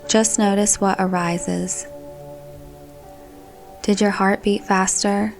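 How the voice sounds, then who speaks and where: A woman narrating a scripted guided meditation in a calm, gentle, soothing manner. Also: medium pitch, takes it slowly, creaky. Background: background music.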